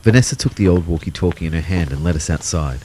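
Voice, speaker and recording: Very deep, wry narrator. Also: male voice, animated, fast, warm tone, a little hiss or hum, low-quality recording. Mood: wry, reflective. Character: male narrator, self-deprecating everyman turned invisible ghost. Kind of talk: scripted first-person audio drama narration.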